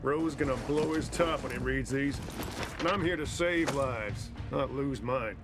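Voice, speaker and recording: An actor playing a brusque, condescending army lieutenant in a video game, curt and bossy with folksy slang. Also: male voice, medium pitch, a little slow, close to an everyday voice. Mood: grudging, dismissive. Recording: music playing behind the voice.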